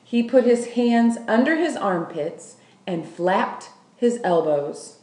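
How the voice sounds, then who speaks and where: Audiobook-style female narrator for a children's novel, unhurried and deliberate, mid-pitched, roomy echo. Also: animated, darkish tone. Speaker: school staff member reading aloud. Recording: roomy, echoing room sound.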